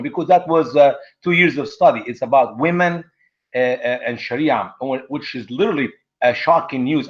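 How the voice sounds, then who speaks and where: Man, animated and lo-fi. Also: fairly high pitch. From Egypt. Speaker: guest. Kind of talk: conversational storytelling.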